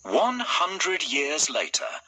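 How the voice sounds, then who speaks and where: Man, fairy tale narrator, slow pace, very bright, forceful tone. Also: fairly high pitch, wildly swooping pitch, low-quality recording. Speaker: storybook narrator.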